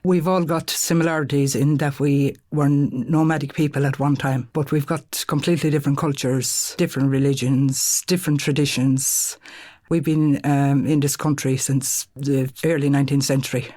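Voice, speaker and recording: A woman, a podcast guest who heads a charity, speaking in a measured way with frequent pauses. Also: very deep voice, level tone, mellow. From Ireland. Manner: measured, with many "um"s. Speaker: guest, chief officer of a charity. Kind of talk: casual interview chat. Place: podcast studio.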